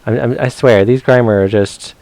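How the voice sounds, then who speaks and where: A man's voice: mid-range voice, moderate pace, a little mellow, no room sound. A man doing casual, rambling gameplay commentary for a live stream, chatting playfully to his viewers as he plays. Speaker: game streamer.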